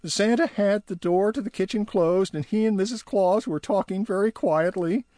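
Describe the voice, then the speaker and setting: A man voicing an excitable young male elf with a high giggly voice who stammers and repeats words. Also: moderate pace, no echo, clean studio sound, close to an everyday voice. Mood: hushed, gossipy.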